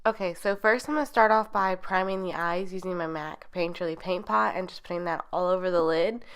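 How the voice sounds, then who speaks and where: A woman's voice: fairly low pitch, a little fast, fairly bright tone, smooth. A young woman narrating a makeup tutorial in a chatty, rambling way, her voice a little nasal as she gets over a cold. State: getting over being sick. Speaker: beauty vlogger.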